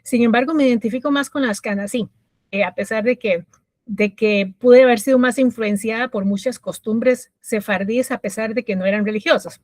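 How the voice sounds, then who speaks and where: A middle-aged woman from Costa Rica with a Costa Rican accent, a guest telling her life story in a reflective way with many pauses and fillers. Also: fairly high pitch, a little mellow, no echo. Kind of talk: personal story interview.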